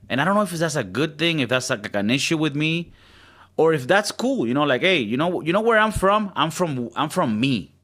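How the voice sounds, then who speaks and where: A man's voice: mid-range voice, bright, forceful tone. Spanish-accented man rambling in casual chat. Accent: Spanish-speaking. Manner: rambling, stammering restarts, swearing, mimics other people. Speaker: podcast co-host.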